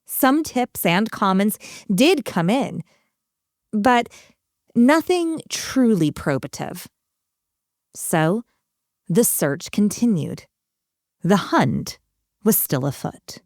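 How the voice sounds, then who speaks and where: A woman hosting and narrating a true-crime podcast from a script, with measured, dramatic storyteller phrasing. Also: mid-range voice, big pitch swings, dry recording, studio quality.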